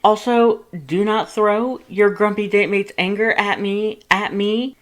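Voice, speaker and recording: Loud, pompous skeleton brother, pitched high. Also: male voice, fairly even intonation, unhurried. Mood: earnest, scolding. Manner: grandiose, over-enunciated, formal.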